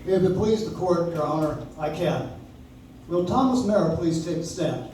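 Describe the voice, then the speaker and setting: Man playing mild polite attorney, slightly high voice, measured, radio drama, distant recording, faint noise. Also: warm tone, natural voice. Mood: earnest, polite. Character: earnest, courteous male defense lawyer, mild-mannered. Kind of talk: old-time radio play acting. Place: coffee shop stage, recorded from the audience. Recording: echoey live stage, recorded from far off.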